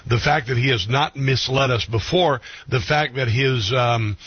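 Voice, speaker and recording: Male talk radio host, husky, hesitant delivery, dry lo-fi sound. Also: mid-range voice, dry recording. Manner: halting, full of ums and restarts. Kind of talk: radio interview.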